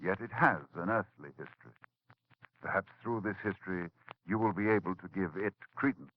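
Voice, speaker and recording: Man, radio horror narrator, grave, measured, low voice, dull old broadcast sound.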